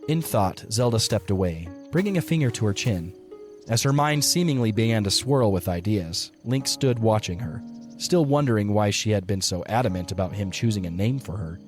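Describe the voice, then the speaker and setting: Narrator, male: somewhat low pitch, scripted storytelling read, faint music bed beneath. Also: animated, normal speed.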